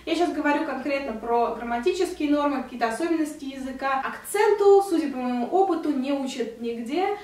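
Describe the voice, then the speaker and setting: A young woman from Russia talks to the camera in an earnest, explanatory way. Her voice sits high, and the room rings with a strong echo. She sounds a step back from the mic. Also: fairly quick, few pauses, darkish tone, distant mic. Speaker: vlogger. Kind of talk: casual to-camera talk. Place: indoor room at home.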